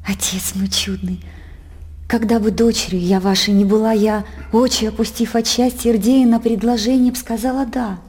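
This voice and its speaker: An actress playing an innocent, devoted young princess, speaking theatrical verse earnestly and formally in a radio play. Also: female voice, a little high, fairly even intonation, normal speed, darkish tone, slightly rough. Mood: tender, sorrowful.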